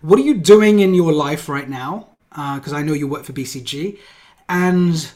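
A man's voice: on the high side, normal speed, darkish tone. A man hosting an interview show he founded, talking enthusiastically and at length. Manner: enthusiastic, rambling with fillers and restarts.

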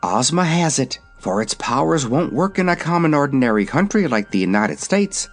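A man's voice: medium pitch, very animated, moderate pace, faint music behind, voice pushed up. A man voicing a cheerful, confident young girl in a fairy-tale story. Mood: bright, confident. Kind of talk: storybook character voice.

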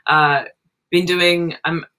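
A woman's voice: fairly low pitch, flat delivery, slow, bright, forceful tone, smooth, poor audio. A young adult woman with an Australian and New Zealand accent, a roller derby player chatting casually on a podcast and rambling with fillers. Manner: rambling, with frequent fillers and laughs. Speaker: roller derby jammer and captain.

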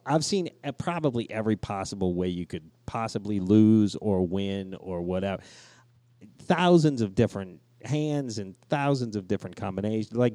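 A middle-aged man with a fairly low voice in a dry, close recording. He talks like a podcast co-host among friends, telling stories loosely, swearing freely and repeating words to make his point. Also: dark tone, no echo. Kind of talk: casual podcast banter and storytelling.

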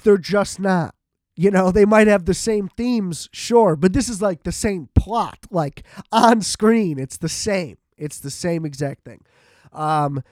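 A man's voice: sits high, a little mellow, dry recording, studio quality. An American man giving a casual, rambling solo movie review, talking in an informal, profane way and repeating himself for emphasis. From United States.

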